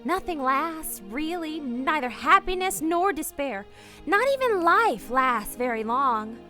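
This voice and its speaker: Woman acting high-voiced, anguished married woman in love. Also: animated, bright, forceful tone, soft background music, heavily acted. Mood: wistful resignation. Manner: emotional, halting, self-addressing. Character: anguished married woman privately agonizing over a doomed love. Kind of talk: radio drama monologue.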